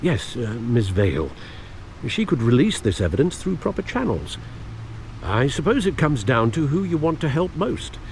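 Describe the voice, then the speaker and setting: An actor playing a loyal older British butler in a video game, speaking formally and eloquently as a grave, watchful adviser. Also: male voice, medium pitch, very animated, moderate pace, darkish tone, slight background noise. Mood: grave, advising. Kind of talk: dramatic video game acting.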